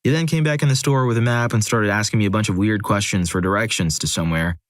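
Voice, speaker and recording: Low-voiced man reading stories dryly. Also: fast, no echo. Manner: steady reading with dry, wry asides. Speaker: narrator. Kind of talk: scripted story narration.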